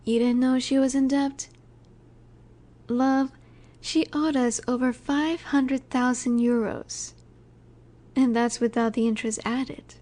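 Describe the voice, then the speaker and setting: Soft, mellow, high-voiced female mafia boss acted by a woman. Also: fairly even intonation. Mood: gentle, consoling. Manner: soft, unhurried, soothing yet in command. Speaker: audio roleplay voice actor. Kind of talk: intimate scripted audio roleplay, addressed to listener.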